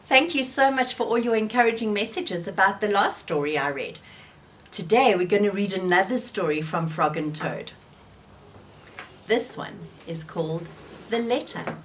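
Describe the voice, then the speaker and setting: A woman reading a children's storybook aloud, gentle and expressive. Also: medium pitch, slight background noise. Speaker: storybook reader.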